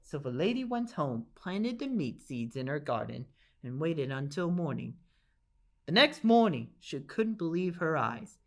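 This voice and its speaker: A woman's voice: low voice, big pitch swings, a little slow. A woman narrating a children's storybook aloud in an enthusiastic, emphatic way. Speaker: storybook reader.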